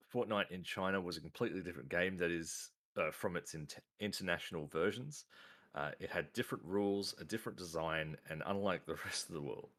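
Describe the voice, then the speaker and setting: Low-voiced male host, halting news read full of fillers. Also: a bit bright. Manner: hesitant, many "uh" fillers. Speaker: podcast host reading news. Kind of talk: casual news roundup.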